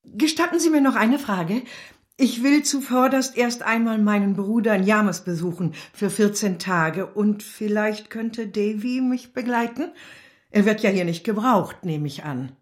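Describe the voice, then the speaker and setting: A woman's voice: medium pitch, unhurried, close to an everyday voice. A woman playing a loyal, caring household maid in a radio play, respectful but persistent. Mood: concerned.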